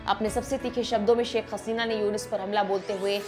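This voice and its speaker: A woman's voice: medium pitch, fairly even intonation, a little fast. A woman presenting scripted news narration in a formal, emphatic manner. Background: background music.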